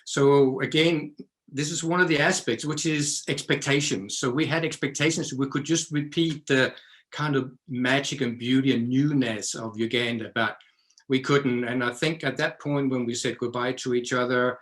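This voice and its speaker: A man, a guest telling his story in a podcast interview in an unhurried, reflective way. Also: mid-range voice, steady tone, a bit bright. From Denmark. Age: 60s.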